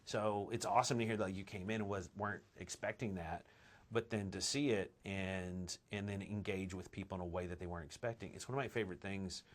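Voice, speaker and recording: A man with a low, deep voice speaks in an easygoing interview. He is enthusiastic and often restarts his phrases as he explains his work. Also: fairly even intonation, fairly quick. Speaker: film director, interview guest. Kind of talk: casual interview talk.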